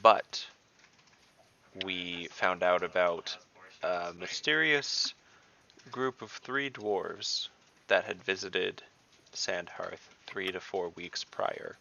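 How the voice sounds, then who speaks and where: A man's voice: low-pitched, very slow, vocal fry, pushed and bright, a little hiss or hum. A man playing an online tabletop role-playing game, improvising his character's talk tentatively, piece by piece, over a lo-fi call.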